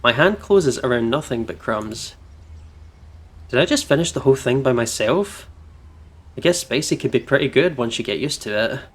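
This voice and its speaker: A man's voice: medium pitch, expressive, normal speed. A British man hosting a visual novel let's play, switching between casual commentary and read-aloud narration in a playful manner. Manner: giggly, playful. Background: game music.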